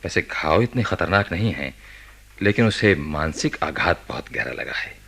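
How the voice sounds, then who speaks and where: A man acting a grave, reserved doctor in a radio play, husky-voiced, measured and courteous. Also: low voice, very animated, a bit bright, heavily acted. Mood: guarded, courteous. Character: grave, guarded male doctor recounting a case. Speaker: doctor and narrator character.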